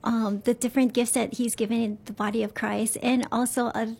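Woman, mellow voice, slightly high, affirming host. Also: little pitch movement, fairly quick, vocal fry, husky. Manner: affirming, frequent backchannel agreement. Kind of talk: casual faith-based chat.

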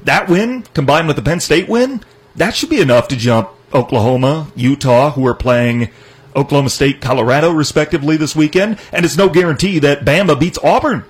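A man hosting a sports talk show, running through college football games in a brisk, knowledgeable way. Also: a little high, expressive, husky. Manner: brisk and knowledgeable, runs the segment. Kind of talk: casual sports chat.

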